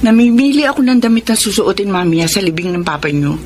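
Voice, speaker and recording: A woman's voice: medium pitch, quick pace, a little mellow, slight background noise, close to an everyday voice. An actress with a Filipino accent playing a vain, defiant widowed mother in a theatrical Tagalog radio drama style. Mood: breezy, vain. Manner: theatrical, sassy, indignant.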